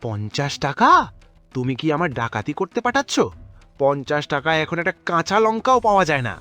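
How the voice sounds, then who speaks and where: A man's voice: fairly high pitch, sing-song, normal speed, fairly bright tone, faint music behind. A man voicing a miserly, scheming fox husband in a comic cartoon, theatrical and wheedling, given to exclamations. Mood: haggling, indignant.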